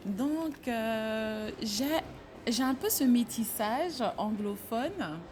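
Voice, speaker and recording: A woman from French Guiana, the founder of a fashion brand, talks about her childhood in an interview, slowly and hesitantly, with many fillers. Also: fairly high pitch, animated, a little mellow, a little hiss or hum.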